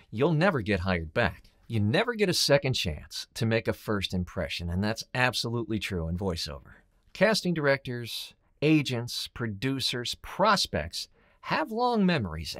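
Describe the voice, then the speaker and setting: A man's voice: mid-range voice, a bit bright. Middle aged guy doing a coaching video, really punches his points, voice goes up and down a lot. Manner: emphatic, rhetorical questions and deliberate pauses. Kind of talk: scripted instructional talk to camera.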